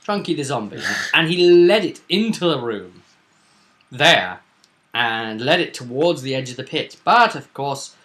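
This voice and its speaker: Man, bright forceful voice, middling pitch, game master, casual recap, emphatic dramatic stresses. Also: very animated, unhurried.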